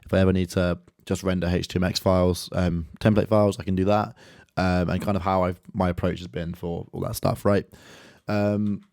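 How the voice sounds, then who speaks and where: A man with a deep voice, a little croaky from a sore throat, explains his project layout in an easygoing, rambling way. The sound is dry and close, with no room around it. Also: little pitch movement, brisk, a little mellow, no echo. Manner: loose and conversational, lots of ums and "kind of". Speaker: software developer walking through code. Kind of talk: casual screen-share code walkthrough.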